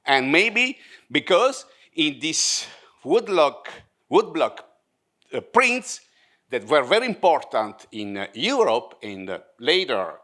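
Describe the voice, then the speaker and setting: Male discussant, animated pitch, slightly high, joking asides, conference mic. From France. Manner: lively, joking asides, halting. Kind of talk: academic panel discussion. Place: conference hall.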